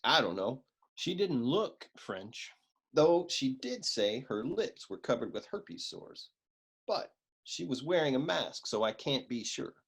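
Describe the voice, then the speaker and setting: A man playing a flippant, self-important private eye with a deadpan manner in a comic detective radio play. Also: mid-range voice, unhurried, creaky, slightly rough, full character voice. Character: flippant, dim-witted noir male private eye.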